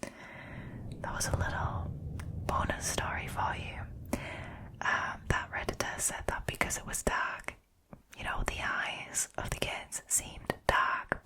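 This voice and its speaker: A woman, hosting a scary story channel and retelling paranormal encounters in a soft, steady whisper close to the microphone. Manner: soft unvoiced whisper throughout, unhurried.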